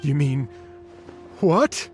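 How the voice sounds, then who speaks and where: A man's voice: a little high, sing-song, extremely slow, heavily acted. An actor playing a polite, cautious male music promoter in a video game, with formal, hesitant delivery. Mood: hesitant, doubtful.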